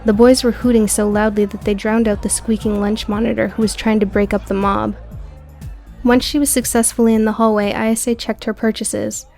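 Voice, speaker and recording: A woman's voice: on the high side, flat delivery, moderate pace, darkish tone, faint music behind. A woman reading scripted audio book narration as the narrator.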